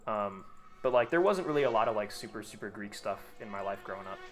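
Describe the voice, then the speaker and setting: A man's voice: fairly low pitch, expressive, fast talker. A young American man streaming a game, chatting casually and rambling with lots of fillers and restarts. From United States. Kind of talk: casual chat while gaming.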